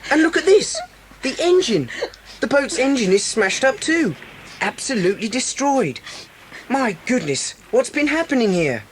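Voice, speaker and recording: A level-headed, plucky child in an audio adventure. The voice is high and lively in pitch, with faint noise behind it. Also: female voice, measured pace. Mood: urgent, alarmed. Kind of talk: children's audio drama acting.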